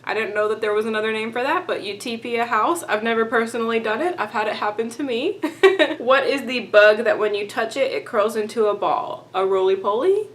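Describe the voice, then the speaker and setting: A woman's voice: a little high, fairly quick. A young woman from Texas with a light Texan accent, chatting casually in a vlog, giggly and full of "like"s. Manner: giggly, chatty, rambling with many "like"s. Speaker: beauty vlogger.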